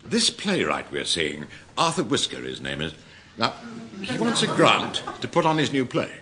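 An actor playing a pompous, scheming, blustering civil servant with a British accent in a classic radio comedy. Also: male voice, medium pitch, huge pitch swings, moderate pace. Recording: noisy old radio recording.

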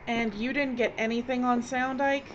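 An actress playing a bossy, impatient, sharp-tongued filmmaker in an audio drama. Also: female voice, on the high side, little pitch movement, moderate pace, lightly acted. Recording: dull, noisy audio drama recording.

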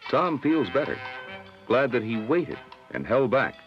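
An American man narrating a scripted 1960s educational film in a measured, instructive manner. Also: mid-range voice, sing-song, music in the background. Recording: dull, lo-fi old film soundtrack.

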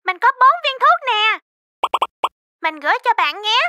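A woman's voice: very high voice, lively intonation, a little slow, bright, forceful tone, heavily acted. A woman voicing a helpful, caring little fox friend in a children's cartoon, with an eager, instructive manner. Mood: concerned, urgent.

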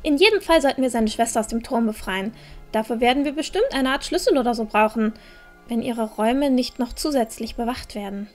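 A woman's voice: on the high side, fairly quick, faint music behind, lightly acted. A woman playing an earnest, pragmatic young girl adventurer in a fantasy audio drama, explaining and pleading. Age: young adult. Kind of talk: fan-made fantasy audio drama acting.

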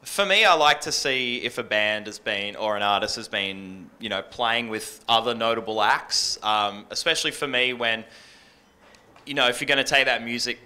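Male panellist, thirties, casual advice, bright smooth tone, moderately low. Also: normal speed. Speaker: radio panellist. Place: indoor music venue, panel stage. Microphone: handheld microphone.

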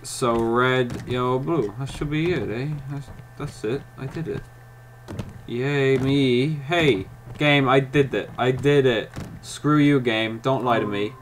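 A man's voice: mid-range voice, takes it slowly, faint music behind. A man giving let's-play commentary, chatting to himself while he works out puzzles and snapping at the game in mock frustration. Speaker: gaming YouTuber.

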